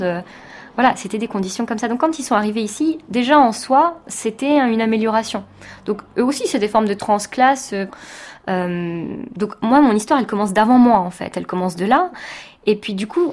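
A woman's voice: medium pitch, darkish tone. A woman from France, a teacher, giving a reflective personal testimony in an interview, speaking thoughtfully with many hesitations.

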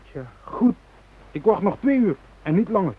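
An actor in a radio play playing a fanatical, impatient militant hostage-taker who speaks in an emphatic, agitated, declamatory way. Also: male voice, high-pitched, very animated, normal speed, slightly rough, phone-quality sound, heavily acted. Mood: grim. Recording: thin, noisy old radio recording.

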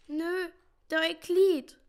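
High, slow, cocky schoolboy. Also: female voice, steady tone, heavily acted. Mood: halting, matter-of-fact. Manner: hesitant, then cheeky. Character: dreamy, cocky village schoolboy, bright and halting. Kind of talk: radio drama acting.

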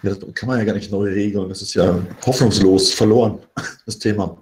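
A man with a fairly low voice talks casually in a group discussion. His sound is roomy and echoey, with faint noise behind it. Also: steady tone, a little fast, darkish tone. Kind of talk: casual chat.

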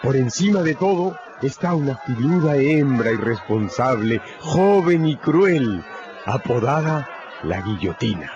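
A man's voice: mid-range voice, sing-song, a little slow. A man narrating a radio drama from a script in a dramatic style. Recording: dull, noisy radio recording.

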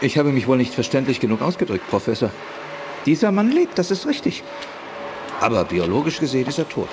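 A man acting as a baffled, insistent male doctor in a science fiction radio play. His medium-pitched voice swings wildly, with clear noise behind it. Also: big pitch swings, brisk, natural voice. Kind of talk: 1980s German audio drama acting.

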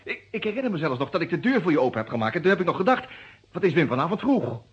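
Husky, excitable accused man, swooping. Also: a little high, very fast, heavily acted. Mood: excited, pleading. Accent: Netherlands Dutch. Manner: excitable, emphatic, repeats words. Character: agitated, indignant male lawyer suspected of murder, pleading innocence. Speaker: actor in a detective radio play. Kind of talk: vintage Dutch radio drama acting. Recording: dull, muffled old radio recording.

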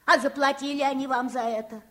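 An actress playing a blunt, grumbling old housekeeper with folksy, sardonic speech in a Soviet radio drama. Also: female voice, high voice, steady tone, a little fast, sharp tone.

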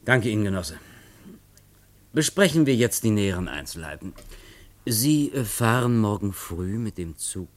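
A low-voiced cold revolutionary boss, measured and bossy, radio play. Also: male voice, very animated. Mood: commanding. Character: cold male revolutionary leader, commanding.

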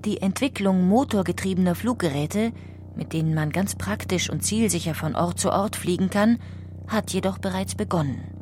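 A woman narrating a history audiobook in a calm, even-paced reading style. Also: lowish voice, normal speed, faint music behind. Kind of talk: scripted documentary narration.

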